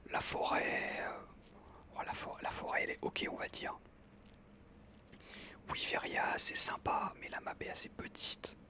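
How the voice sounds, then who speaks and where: An ASMR creator whispering a casual game commentary close to the microphone, pausing and correcting themselves as they go. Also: pushed and bright. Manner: whispering throughout, hesitant pauses with frequent self-corrections.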